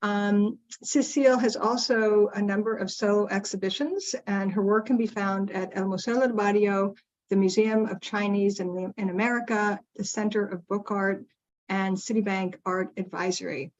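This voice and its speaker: A woman opens an online seminar by introducing the guest speaker. She sounds warm and admiring and uses a few "um" fillers. The audio is slightly dull and lo-fi, as heard over a video call. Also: medium pitch, steady tone, moderate pace.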